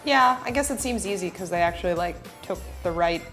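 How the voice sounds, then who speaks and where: A woman's voice: fairly low pitch, lively intonation, fairly quick, fairly bright tone. A woman chatting casually as a panelist on a talk show. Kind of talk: casual chat. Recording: roomy sound with music behind.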